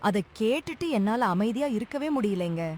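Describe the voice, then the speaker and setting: A woman's voice: fairly high pitch, lively intonation, a little fast, darkish tone, a little hiss or hum, close to an everyday voice. A woman acting a compassionate wife in a story drama, earnest and urging. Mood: earnest concern.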